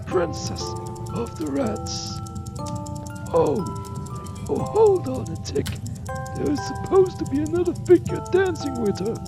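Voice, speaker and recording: Man acting a jolly old godfather, swooping pitch. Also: exaggerated voice. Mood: playful, fond. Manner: affectionate, teasing, fussing, theatrical. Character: kindly, jolly old godfather doting on a child. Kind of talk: scripted audio drama acting. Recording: music under the voice. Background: music.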